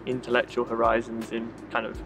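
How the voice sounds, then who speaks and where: A young man from the United Kingdom, a master's student answering interview questions outdoors, brisk and repeating words. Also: mid-range voice, monotone, gravelly, over music. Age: 20s. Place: outdoor campus courtyard.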